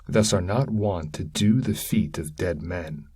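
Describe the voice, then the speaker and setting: A man's voice: low voice, animated, measured pace, a little mellow, husky, no echo, studio quality, heavily acted. A man voicing a great bearded centaur chieftain in a verse reading, formal, observant and commanding. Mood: observant, grave.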